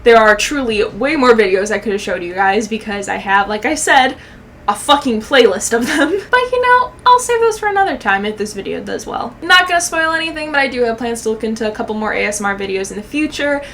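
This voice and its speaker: A young woman with a fairly high voice gives casual video commentary. She is slangy, sweary and sarcastic, with faint noise behind her. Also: animated, fairly quick, fairly bright tone. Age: young adult. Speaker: YouTube commentator.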